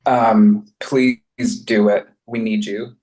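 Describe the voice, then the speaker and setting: A man's voice: lowish voice, little pitch movement, slow pace, darkish tone, low-quality recording. A man speaking as a panel guest, giving advice in an earnest, emphatic and pleading way. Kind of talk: casual advice-giving talk.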